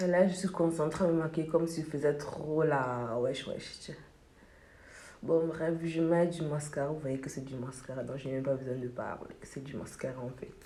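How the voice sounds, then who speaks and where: A woman's voice: sits low, normal speed, a little hiss or hum. Emphatic young woman vlogging in an echoey room. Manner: emphatic, repetitive, animated storytelling. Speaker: beauty vlogger. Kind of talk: casual vlog monologue. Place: room at home while doing makeup.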